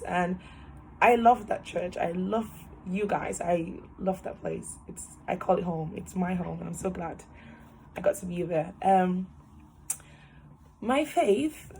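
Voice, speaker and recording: A woman's voice: mid-range voice, a little hiss or hum, rough recording. Cheerful young woman on a video call. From Cameroon. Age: 20s. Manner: cheerful, laughs often, animated hands. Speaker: interviewee, student nurse. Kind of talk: casual personal story. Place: small room at home, indoors. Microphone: webcam on a video call.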